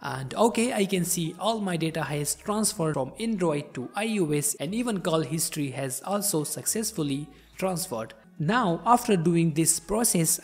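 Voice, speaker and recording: A man's voice: on the high side, darkish tone, breathy edge, big-room sound. A man hosting a tech channel, reading a scripted step-by-step tutorial voice-over in an upbeat, promotional way and addressing viewers directly.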